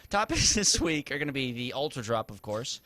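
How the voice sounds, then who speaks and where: A man's voice: mid-range voice, big pitch swings, a little fast, bright, forceful tone. A man hosting a casual gaming podcast over a voice call, laughing often. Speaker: podcast host.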